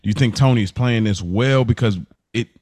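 A man hosting a podcast in a casual recap style, slangy and excitable, often repeating himself for emphasis. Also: medium pitch, fairly quick, dry recording. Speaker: podcast host.

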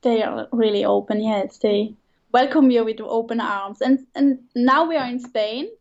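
A woman's voice: a little high, moderate pace, a little mellow, dull audio. A woman in her thirties from Switzerland, a guest chatting casually in an interview, hesitant and often restarting with light laughs.

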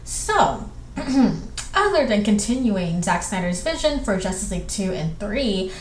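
A woman's voice: mid-range voice, big pitch swings. A young woman from southwestern Georgia with a Southern accent, hosting a casual solo podcast and rambling through listener questions with lots of fillers. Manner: rambling, chatty, filler-heavy, quick bursts. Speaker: podcast host. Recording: echoey room with faint background noise.

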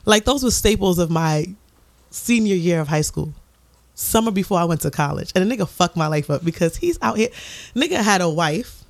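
A woman's voice: fairly low pitch, animated, a little fast, dry recording. A podcast host from the Bronx, in her thirties, chatting casually and bluntly with friends, swearing and joking. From the Bronx, New York. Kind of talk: casual chat.

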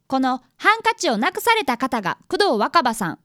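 A woman's voice: high voice, sing-song, normal speed, a bit bright, dry recording, studio quality. A woman playing a polite, earnest fifth-grade boy detective apprentice in a radio drama. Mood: earnest narrating. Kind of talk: radio drama acting and narration.

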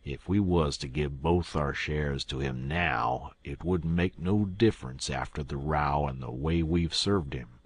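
A man voicing a brutal, sullen river gangster with a gruff voice and a rustic drawl in an audiobook. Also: low voice, unhurried, no echo. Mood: grimly menacing. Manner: rustic drawl with folksy dialect. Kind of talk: audiobook character acting.

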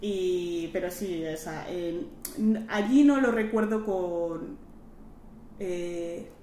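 A woman's voice: fairly low pitch, slow, warm tone. Chatty woman from Madrid in her thirties. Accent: Madrid Spanish. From Madrid, Spain. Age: 30s. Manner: chatty, lots of fillers and "¿sabes?", acts out quoted voices. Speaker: guest. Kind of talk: casual conversational interview. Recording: roomy with faint ambient noise.

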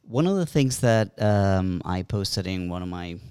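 A man with a fairly low voice co-hosts a casual talk show, pressing his guest with pointed, opinionated questions. The sound is dry and close, with no room around it. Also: expressive, a little slow, darkish tone, smooth, no echo. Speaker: co-host. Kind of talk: casual discussion.

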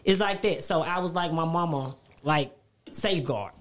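A man with a high-pitched voice, a guest on a podcast, speaking a little above the usual male pitch. He rambles through personal stories and circles back to repeat himself. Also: moderate pace. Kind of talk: casual podcast chat.

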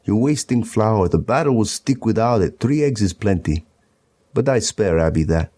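A man with a very low, mellow voice reads narrative poetry aloud. His tone is dark and soft-edged, suited to a literary recital. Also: lively intonation, moderate pace. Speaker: poetry reader. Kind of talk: literary narrative poem reading.

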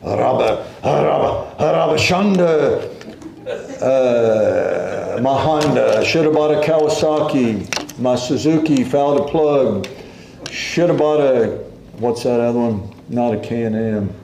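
A man preaching a sermon in an unhurried, folksy way, quoting scripture as he goes. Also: mid-range voice, expressive, gravelly, darkish tone, roomy sound, a little hiss or hum.

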